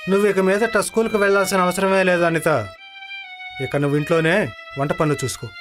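A man's voice: high-pitched, steady tone, a little fast, music in the background, lightly acted. A man playing a stern, stubborn middle-aged father who scolds and dismisses in a dramatized moral story. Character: stern, stubborn father, a street-food seller, dismissive of daughters.

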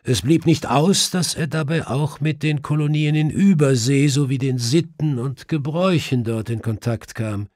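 A man's voice: medium pitch, moderate pace, few pauses, a little mellow, no room sound, clean studio sound, pitched above the performer's own voice, lightly acted. A man voicing a clever, self-assured male detective who explains things precisely in an audiobook. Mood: assured explaining. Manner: precise, explanatory, faintly mischievous.

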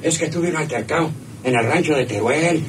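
A man's voice: on the high side, brisk, close to an everyday voice. An actor playing a deferential, flustered hacienda henchman, hesitant in his explaining, in a radio drama. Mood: nervous, deferential. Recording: noisy old radio serial recording.

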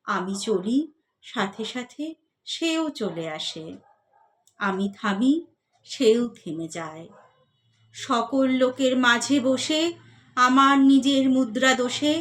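A woman with a high voice recites poetry at a slow pace. Her delivery is expressive and measured, and her phrases drop softly as each line closes.

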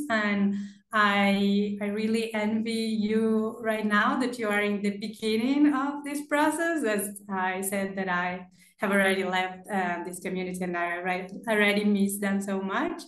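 A young woman from Argentina raised in Brazil, a recent graduate speaking encouragingly in a webinar over a laptop call. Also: mid-range voice. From Argentina, grew up in Brazil. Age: young adult. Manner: warm, encouraging, with fillers. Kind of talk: webinar talk. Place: small office indoors. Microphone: laptop on video call. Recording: echoey room on a video call.

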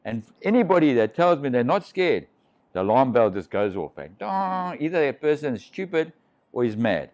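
Middle-aged man, seasoned shark diver, casual rambling storyteller, medium pitch, picked up a little dull from across the room. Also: very animated, normal speed, darkish tone. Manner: rambling, restarts, swears freely. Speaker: shark diving pioneer, guest. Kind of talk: casual storytelling over drinks. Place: living room, sitting on a sofa.

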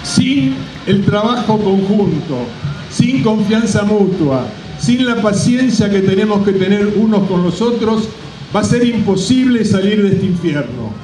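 A middle-aged man delivers a formal speech in a high, mellow voice. He speaks deliberately, with pauses, into an outdoor podium microphone that sounds distant and echoing. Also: lively intonation, faint music behind. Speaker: company representative giving a speech. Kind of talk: public speech. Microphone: microphone on a stand at a podium. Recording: roomy distant PA microphone, background noise.

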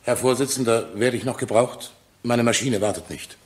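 A male actor plays an impatient middle-aged witness in a courtroom radio drama. His medium-pitched voice is husky, and he answers casually, curtly and evasively. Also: normal speed, close to an everyday voice. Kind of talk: German crime radio drama acting.

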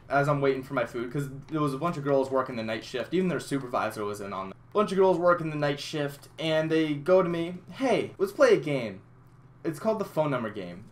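A young man tells a loose, rambling story in a small room, stumbling over restarts and swearing often. His voice sits at an ordinary middle pitch, and he talks into a headset mic. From Massachusetts. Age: young adult. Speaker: gaming YouTuber. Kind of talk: casual storytelling over gameplay.

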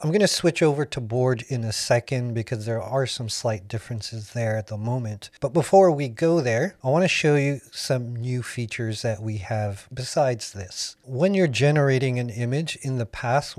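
A man in his thirties whose first language is Filipino, giving an upbeat, friendly software tutorial walkthrough. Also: mid-range voice, no room sound. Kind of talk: screen-recorded software tutorial.

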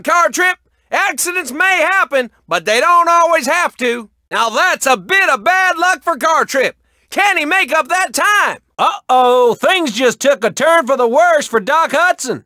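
An excitable male race announcer from a cartoon racing game. The voice is very high for a man, bright and forceful, with big swoops in pitch. The delivery is folksy, exclamatory commentary with drawn-out emphasis. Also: dry recording, clean studio sound, exaggerated voice. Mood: dismayed excitement.

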